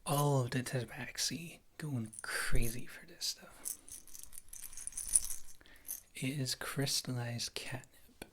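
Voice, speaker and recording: A woman playing a wry witch healer and former adventurer in a fantasy ASMR roleplay, speaking in a soft, breathy whisper close to the microphone. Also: a bass voice, slight background noise, full character voice. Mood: confiding, businesslike. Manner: rambling, wry, gentle, unhurried.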